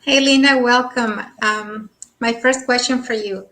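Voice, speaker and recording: Friendly woman co-host, slightly high. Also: steady tone, unhurried, pushed and bright. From Mexico.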